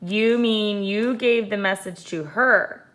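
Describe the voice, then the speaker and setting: A woman voicing a grave male ninja master in a storybook, speaking in terse, measured pronouncements. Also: medium pitch, fairly bright tone. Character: solemn, commanding old-world male ninja master.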